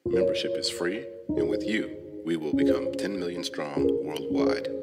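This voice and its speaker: A man reading a scripted radio station promo in a smooth announcer delivery. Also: deep voice, moderate pace, over loud music.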